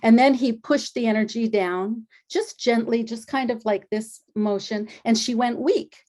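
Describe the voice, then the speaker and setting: Animated middle-aged woman telling a story. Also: a little high, unhurried. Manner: animated storyteller acting out quoted dialogue. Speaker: energy healer and acupuncturist. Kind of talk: relaxed storytelling interview.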